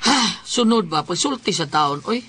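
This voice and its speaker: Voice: mid-range voice, animated, moderate pace, bright, forceful tone, husky. A performer with a Cebuano Bisaya accent acting in a colloquial comedy skit, scolding and exclaiming. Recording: music behind the voice.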